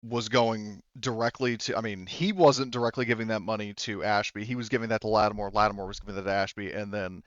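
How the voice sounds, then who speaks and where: A man running a tabletop roleplaying game, improvising his narration in a casual, halting, sweary way. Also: on the low side, fairly even intonation, no echo, highs cut off. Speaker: game master.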